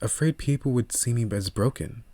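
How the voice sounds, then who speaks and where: A man voicing a gentle, vulnerable woman who is opening up, in a soft, earnest audiobook delivery. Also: medium pitch, moderate pace, no echo, lightly acted. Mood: anxious, confiding. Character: gentle wounded woman restoring her garden, vulnerable, opening up.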